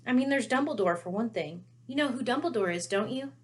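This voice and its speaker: A woman's voice: a little high, lively intonation, a little fast, voice pushed up, lightly acted. A woman voicing an earnest, bewildered schoolboy wizard with an insistent, questioning manner in a storybook reading. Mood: puzzled.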